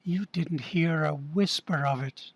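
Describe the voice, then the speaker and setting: A man's voice: a little high, a little slow, breathy edge. A man playing an earnest, desperate man pleading for his life in a staged play reading. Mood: anguished.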